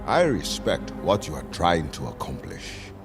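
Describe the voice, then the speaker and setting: Deep, slow, imposing warlord villain. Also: male voice, sing-song. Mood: grave, persuasive. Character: imposing male warlord villain, calm, proud, menacing. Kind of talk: dramatic video game voice acting. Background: music.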